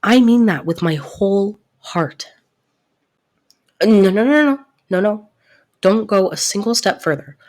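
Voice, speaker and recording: A woman playing a devoted, protective best friend in an intimate audio roleplay, soft-spoken and earnest, easily flustered. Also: medium pitch, lively intonation, natural voice. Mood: insistent, sincere, then embarrassed, nervous. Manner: earnest, reassuring, halting when flustered.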